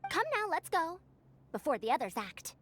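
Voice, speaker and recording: A woman's voice: high-pitched, very animated, moderate pace, a little hiss or hum. A woman playing a spirited, bossy, scheming female scholar in a video game, with an old-fashioned commanding manner. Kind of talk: English video game voice acting.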